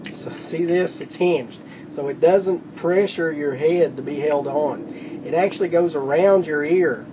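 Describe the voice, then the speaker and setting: A man's voice: on the high side, noisy background. A man giving a casual how-to demonstration in a folksy, plain-spoken way, like a product demonstrator explaining things step by step.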